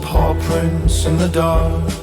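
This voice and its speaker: Lady doing a brooding villain cat, dark musical number, super slow drawn-out delivery, big music backing. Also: sits low, completely flat delivery. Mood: dark resolve, sung. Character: dark, scheming cat plotting murder for the crown.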